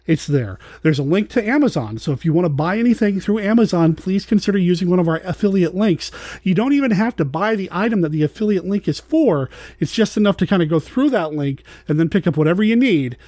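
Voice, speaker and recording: A man with a fairly high voice chats as a podcast host, conversational and informal. The sound is dry and close, with no room to it. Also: darkish tone, no room sound. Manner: chatty, conversational. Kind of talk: casual podcast sign-off.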